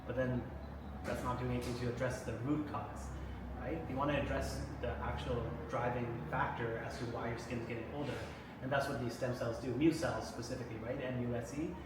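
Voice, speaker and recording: A man's voice: mid-range voice, fairly even intonation. A man who is a doctor, explaining treatments in casual chat, tagging his points with "right?". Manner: fast, explains with repeated "right?" tags. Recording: echoey room, far from mic, noisy.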